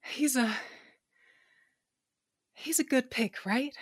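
A tough, foul-mouthed fighter, voiced by a woman, fading fast in a fan audio drama. Her husky voice drags slowly through clipped, broken lines. It is recorded dry and close. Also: high voice, dry recording, exaggerated voice. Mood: weak, fading. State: weak and dying.

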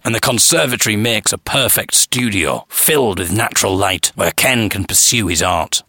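Lively, bright-voiced male narrator. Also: on the low side, husky, dry recording, clean studio sound. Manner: measured, expressive storytelling. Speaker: podcast host and narrator. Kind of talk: reading a newspaper article aloud.

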